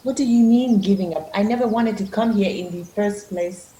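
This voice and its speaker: A woman's voice: medium pitch, normal speed, dark tone, lightly acted. A woman playing a reluctant, guarded young villager in a radio play, defensive and doubting, recorded in a roomy space with some noise. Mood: defensive, discouraged.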